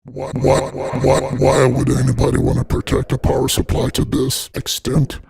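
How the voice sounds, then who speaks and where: A man with a gravelly, husky, effected voice narrating a product unboxing in a casual, sweary, irreverent way. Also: medium pitch, completely flat delivery, moderate pace, darkish tone. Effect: voice changer processing.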